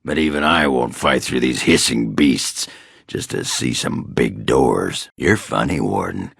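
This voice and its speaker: Gruff, boozy male dwarf fighter for a fantasy game: raspy, forceful, crude and blunt, unhurried delivery. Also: a bass voice, expressive, dry recording, studio quality, heavily acted. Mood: wry, grumbling. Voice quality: gravelly, rough, raspy. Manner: blunt, coarse, folksy swearing. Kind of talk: video game character dialogue.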